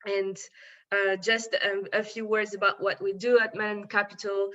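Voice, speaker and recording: Bright-toned woman presenting hesitantly online. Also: mid-range voice, little pitch movement, moderate pace, dull audio. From Congo, grew up in France. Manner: hesitant, many filler sounds and restarts. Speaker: webinar presenter, impact investor.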